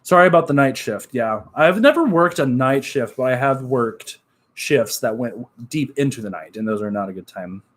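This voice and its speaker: A young man with a nasal voice, chatting casually on a live stream, upbeat and relaxed, full of fillers. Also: mid-range voice, normal speed. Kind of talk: casual live-stream chat reading comments.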